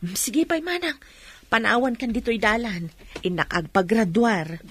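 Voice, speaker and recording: A woman's voice: fairly high pitch, animated, a little slow, a bit bright, a little hiss or hum. A woman playing a proud, devoted middle-aged mother in a radio drama, speaking in an earnest, emphatic way. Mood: earnest, indignant.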